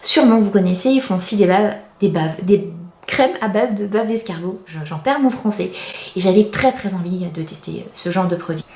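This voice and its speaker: A woman's voice: medium pitch, normal speed, a little mellow. A woman in her thirties giving a casual, chatty product review as a beauty vlogger, confiding and self-deprecating. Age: 30s. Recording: roomy, echoey indoor sound.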